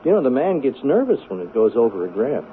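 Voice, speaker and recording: An American actor playing an offhand, menacing messenger for a loan shark in a 1970s radio drama. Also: male voice, medium pitch, very animated, fast, lightly acted. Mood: offhand menace. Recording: tinny, noisy 1970s radio recording.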